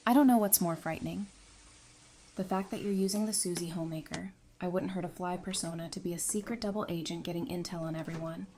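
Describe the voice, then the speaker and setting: A woman's voice: on the low side, fast, natural voice. A woman playing a frank, curious survivor in an audio drama, with a wry conversational manner. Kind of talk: fan audio drama acting.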